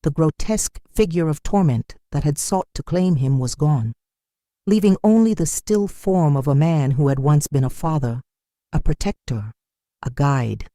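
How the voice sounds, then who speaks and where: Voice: medium pitch, animated, normal speed, dark tone, no room sound, clean studio sound. A narrator delivering a dramatic scripted folktale in a solemn, suspenseful manner.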